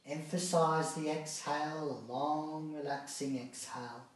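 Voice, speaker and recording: A man guiding a relaxation practice as a yoga instructor, speaking calmly and soothingly in short phrases with long pauses. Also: on the high side. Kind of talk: guided meditation, calm step-by-step instruction. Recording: very echoey room, distant mic.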